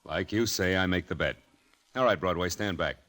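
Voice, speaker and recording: An actor playing a smooth, sly high-rolling gambler in an old-time radio drama, casual and understated in his confidence. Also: normal speed, fairly bright tone.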